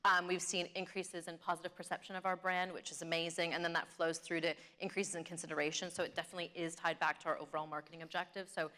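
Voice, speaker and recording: A woman's voice: on the low side, level tone, fast talker, hardly any pauses, sharp tone. A woman speaking earnestly as a corporate marketing panelist, explaining things in business language. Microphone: event stage microphone.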